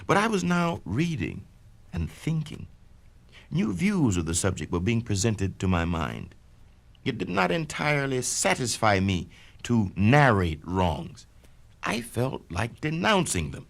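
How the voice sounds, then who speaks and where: A man giving a measured, declamatory first-person reading of an autobiography for a vintage spoken-word record. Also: medium pitch, gravelly, a bit bright. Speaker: narrator reading a memoir.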